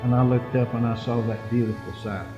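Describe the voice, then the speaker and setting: An older man, a war veteran, giving personal testimony in an earnest, deliberate way with dramatic pauses. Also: medium pitch, flat delivery, dull audio. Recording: music under the voice.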